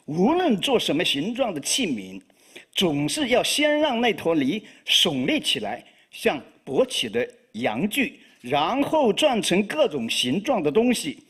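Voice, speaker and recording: A man with a Chinese accent, whose first language is Chinese, giving a live poetry reading in a halting way with frequent fillers. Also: high-pitched, huge pitch swings, a bit bright, breathy edge. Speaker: poet.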